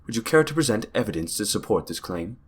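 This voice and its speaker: A man's voice: lowish voice, very animated, quick pace, slightly rough. A man playing a polite, orderly judge in an audio drama, speaking in a formal, official manner. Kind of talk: audio drama character acting. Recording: noisy background ambience.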